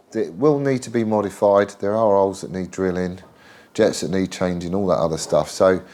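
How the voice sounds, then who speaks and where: A man's voice: on the low side, darkish tone. A middle-aged man with a head cold, chatting casually and rambling through a workshop update with plenty of ums and local slang. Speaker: motorcycle builder and channel host. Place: home workshop.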